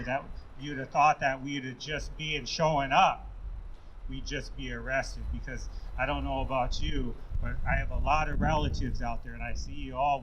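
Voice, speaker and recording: An American man giving an impassioned speech at an outdoor rally, speaking in a halting, earnest way and leaning on repeated phrases. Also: mid-range voice, a bit bright, slight background noise, poor audio. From Yankton Sioux Nation, Sioux City, Iowa, United States. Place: outdoors at a downtown courthouse rally.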